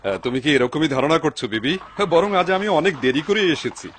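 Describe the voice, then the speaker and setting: A man playing an excitable, pious, poor woodcutter in a dubbed cartoon, exclaiming and talking to himself in wonder. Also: fairly high pitch, fast talker. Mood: hushed, excited. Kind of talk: Bengali-dubbed cartoon acting. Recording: noisy soundtrack with clicks.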